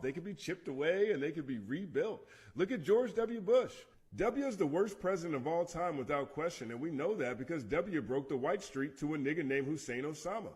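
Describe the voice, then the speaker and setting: A man's voice: a little high, husky. A man speaking in emphatic, declarative sentences in a clip played on the stream.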